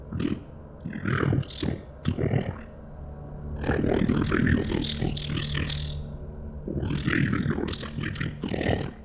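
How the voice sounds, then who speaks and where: A man voicing a weary, self-doubting animatronic alligator in a comic dub, gruff and brooding as he talks to himself. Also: heavy background noise, exaggerated voice. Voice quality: deep, gravelly growl. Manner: brooding monologue, muttering to himself. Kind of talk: dramatic comic dub monologue. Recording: loud music behind the voice.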